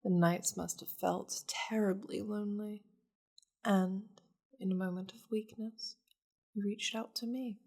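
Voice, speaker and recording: A woman's voice: mid-range voice, fairly even intonation, a little mellow. A woman voicing a seductive, possessive demon of war in an intimate ASMR roleplay, teasing and domineering, often slipping toward a whisper. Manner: slow, breathy, teasing, often dropping into whispers.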